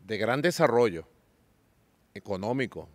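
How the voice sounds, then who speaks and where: A man from Venezuela hosting a podcast, talking politics in a slow, measured way and drawing out his lists. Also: on the low side, lively intonation, darkish tone, no echo. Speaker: podcast host. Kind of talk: political conversation.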